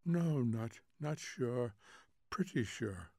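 A drowsy, forgetful wife from a storybook, performed by a man reader. Her voice is soft and faint, drifting slowly through hesitant repetitions with a detached air. The recording is dry. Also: medium pitch, lively intonation, no room sound. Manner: hesitant, faltering, trailing repetitions.

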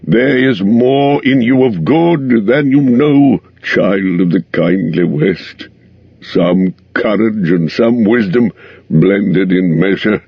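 A man voicing a dying male dwarf king, proud and remorseful, speaking in a slow, solemn way. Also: lowish voice, vocal fry, breathy edge, no echo, exaggerated voice. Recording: dull lo-fi recording.

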